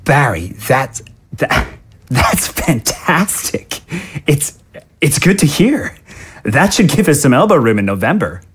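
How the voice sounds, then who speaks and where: A man's voice: on the high side, very animated, slow, husky, heavily acted. A man playing a smug, ambitious congressman in an audio drama, with a wry, self-satisfied way of talking. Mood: pleased.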